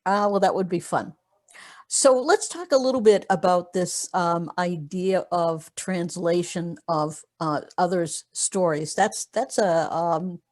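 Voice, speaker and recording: Low-voiced woman hosting an interview. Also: darkish tone. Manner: hesitant with many fillers when speaking freely. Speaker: blog host and interviewer. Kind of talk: reading an introduction, then casual interview. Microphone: video call.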